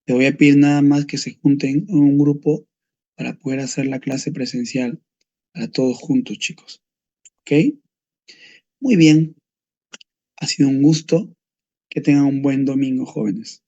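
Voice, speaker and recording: A man teaches a medical class over a video call, firm and explanatory as he speaks right to his students. His voice is gravelly and mellow, a little husky. The audio comes through dull and lo-fi. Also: mid-range voice, a little slow, pauses often, no room sound. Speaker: doctor teaching a medical course. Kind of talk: online lecture.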